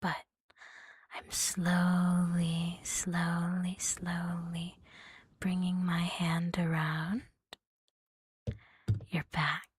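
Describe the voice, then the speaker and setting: A woman's voice: fairly low pitch, completely flat delivery, very slow, pauses often, slightly rough. A woman whispering breathily in an intimate, soothing ASMR roleplay as a catgirl girlfriend, very close to the microphone. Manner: mostly whispering, close and soothing, lingering on repeated words. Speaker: ASMR performer.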